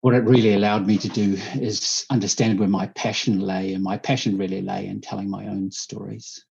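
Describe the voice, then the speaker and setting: A man from New Zealand, a guest storyteller, answering interview questions thoughtfully, halting often with fillers and restarts, speaking over a video call. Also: fairly low pitch, flat delivery, vocal fry, darkish tone, a little hiss or hum. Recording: dull lo-fi remote audio.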